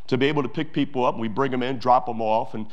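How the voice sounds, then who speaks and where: A man's voice: mid-range voice, quick pace, highs cut off. A pastor preaching a sermon to his church, emphatic, with repeated phrases and many fillers.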